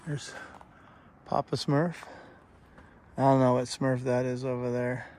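A man's voice: mid-range voice, little pitch movement, a little slow, darkish tone. A man filming himself exploring abandoned ruins, talking insistently and defensively to his viewers. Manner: insistent, repetitive, heated when addressing critics. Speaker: urban explorer vlogger. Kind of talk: defensive walking commentary. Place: outdoors at abandoned desert ruins beside a highway. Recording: outdoor road noise clearly audible. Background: passing cars and wind.

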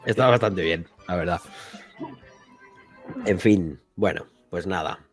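A man from a Spanish podcast with a Spain accent and a fairly low voice. He hosts casual chat about old games in a rambling, jokey, colloquial manner, with faint music playing behind him. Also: slow. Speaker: podcast host.

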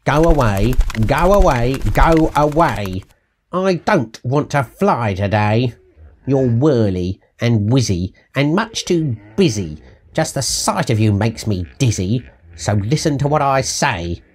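A man's voice: mid-range voice, very animated, slow pace, a little hiss or hum. A man voicing a bossy, grumpy grey female bird in an emphatic, sing-song storybook rhyme. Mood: grumpy, dismissive.

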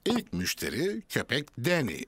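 A man's voice: mid-range voice, huge pitch swings, a little slow, fairly bright tone, a little hiss or hum. A man narrating a children's cartoon from a script. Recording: music behind the voice.

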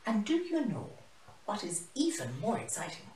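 Expressive, encouraging storybook lioness, read by a woman. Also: medium pitch, normal speed, voice pushed up. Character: friendly delighted lioness encouraging her giraffe friend. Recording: roomy, mic some distance away.

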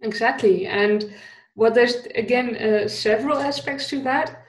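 A woman's voice: a little high, measured pace. Dutch woman, English second language, engaged podcast guest, roomy-sounding recording. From Netherlands. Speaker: guest, conversation designer. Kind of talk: podcast interview. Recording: roomy echo.

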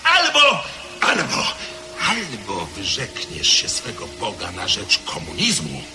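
Radio drama villain: a mocking communist commissar, wild swoops in pitch, slow and deliberate, music under him. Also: male voice, wildly swooping pitch, full character voice. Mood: furious threat. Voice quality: sneering, theatrical. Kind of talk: 1970s radio drama acting.

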